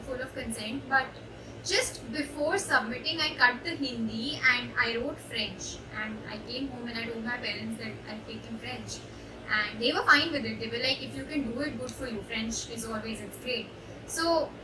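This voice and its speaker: A young woman with an Indian English accent, a student intern, giving a reflective personal testimonial with frequent hesitations. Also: high-pitched. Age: young adult. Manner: reflective, hesitant with many uh fillers. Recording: echoey room, distant mic, background noise.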